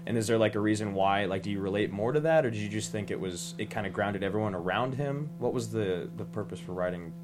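Male panelist, deep voice, speedy casual talk, music bed underneath. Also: fast talker, hardly any pauses. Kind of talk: casual conversational discussion.

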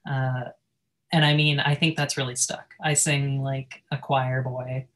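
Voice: mid-range voice, flat delivery, measured pace, a bit bright. A person in casual podcast chat, talking in a reflective, rambling way with plenty of fillers. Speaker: podcast guest musician.

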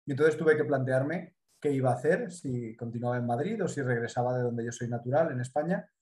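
A middle-aged man from the Mediterranean coast of Spain with a Spanish accent, a business consultant explaining his work in a measured way during a live interview. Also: mid-range voice, a little mellow, reverberant.

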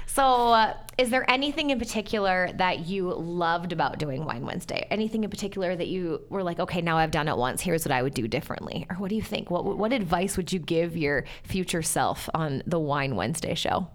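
A woman with a slightly low voice hosts a relaxed, conversational interview. She talks in a chatty, encouraging way, as a podcast host does with a guest. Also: fairly quick, few pauses.

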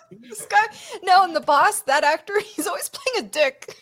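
Female podcast co-host, very animated intonation, frank and salty casual movie banter. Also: very high-pitched, normal speed. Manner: blunt, informal, swears freely. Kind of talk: casual watch-party film chat.

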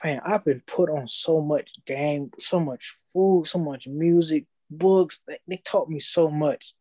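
Young man, casual podcast storytelling, slangy, lively, dead dry sound. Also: on the high side, a little slow, no echo. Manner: animated storytelling, slangy, acts out his own past thoughts.

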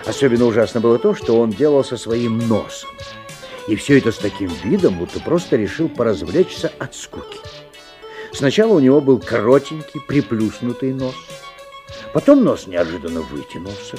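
An actor playing an anxious, watchful father who narrates a strange tale in a 1970s radio play, expressive and dramatic. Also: medium pitch, natural voice.

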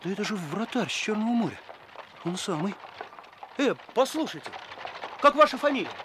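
An impulsive young sleuth in a radio play. His voice is rather high and sharp, exclamatory as he thinks aloud, over faint noise. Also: very animated, normal speed. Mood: suspicious, excited. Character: eager young male detective, impulsive and excitable. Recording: noisy radio drama recording.

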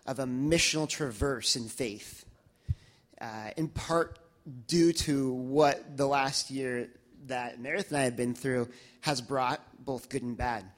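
A young man speaking to his church congregation, reflective and halting, with many restarts and fillers. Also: medium pitch, fairly even intonation. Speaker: church member sharing reflections.

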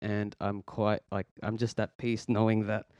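A young man in his twenties hosts a faith chat show, his voice smooth and clear. He talks earnestly, stopping and restarting often. The sound is dry and close on a podcast mic. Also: fairly low pitch, level tone, measured pace, a little mellow, no room sound. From Philippines, raised in Australia. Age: 20s. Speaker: podcast host. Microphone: podcast microphone.

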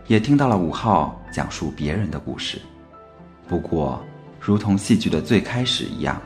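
A man narrating a scripted story for an audio drama. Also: deep voice. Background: music.

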